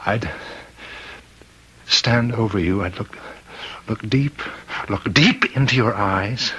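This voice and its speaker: Prison warden: low, forceful voice with grain, brooding and halting, classic radio mystery. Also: male voice, breathy edge, exaggerated voice, low-quality recording. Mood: entranced, dark. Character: respected prison warden, a husband growing uneasy. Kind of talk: old-time radio mystery acting. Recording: vintage radio drama recording.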